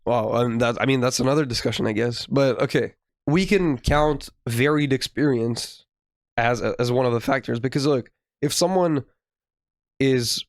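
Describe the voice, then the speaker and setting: A young man co-hosting a podcast, reasoning aloud in a casual, halting philosophical discussion. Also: medium pitch, no echo, clean studio sound. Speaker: podcast co-host.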